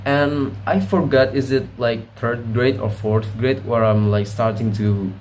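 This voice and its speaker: Young man, casual vlog monologue, rambling, self-correcting, mid-pitched voice. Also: steady tone, unhurried, a little mellow, a little hiss or hum. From Indonesia. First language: Indonesian. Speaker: vlogger.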